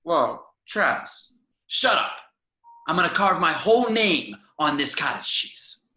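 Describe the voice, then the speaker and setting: Reader plays a vicious teen thug, shouting; sharp tone, echoey room. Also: medium pitch, very animated, unhurried. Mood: sneering. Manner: snarling, shouting threats. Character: vicious teenage bully boy, knife-wielding and savage. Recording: roomy echo.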